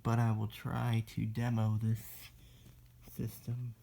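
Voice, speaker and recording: Slow, creaky-voiced demonstrator, fairly low-pitched. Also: completely flat delivery, dark tone. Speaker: demonstrator in a homemade electronics video. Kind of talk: casual hands-on product demo.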